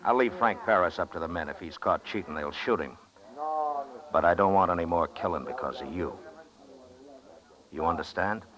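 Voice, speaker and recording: A man's voice: sits low, normal speed, pauses often, close to an everyday voice. An American actor playing a stern, dry-witted frontier lawman in a 1950s radio western. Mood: firm, warning. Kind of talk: 1950s radio drama acting. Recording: dull old radio recording, crowd murmur.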